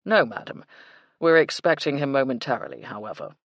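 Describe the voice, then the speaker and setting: A man's voice: mid-range voice, big pitch swings, fairly quick, vocal fry, fairly bright tone, no echo, full character voice. A woman voicing a stiff, formal, deferential English manservant in a storybook, with a dry manner. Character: dry, dutiful, formal male English hotel butler.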